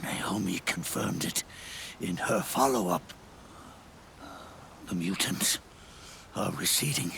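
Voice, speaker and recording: An actor playing a dying old soldier in a video game, weary and regretful, with a gravelly, breathy voice and halting, solemn phrases. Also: male voice, low-pitched, lively intonation, fairly bright tone, a little hiss or hum, full character voice. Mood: weary, explanatory. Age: older. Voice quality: gravelly, breathy, often fading to a whisper. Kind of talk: cinematic video game acting. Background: music.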